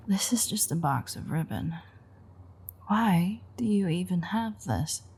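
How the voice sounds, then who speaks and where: Actress as female vampire mechanic for audio roleplay: lowish, gravelly, dry, playful and unrepentantly predatory. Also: warm tone, heavily acted. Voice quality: husky, gravelly, breathy. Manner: dry, teasing, sarcastic. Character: teasing, sardonic female vampire mechanic, unrepentant man-eater. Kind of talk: audio roleplay acting, intimate one-sided dialogue.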